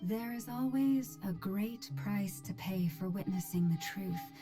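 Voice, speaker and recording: Woman voicing serene gracious healer lady in a fantasy game, formal, medium pitch, music behind. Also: a little slow, lightly acted. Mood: solemn. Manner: formal, thoughtful.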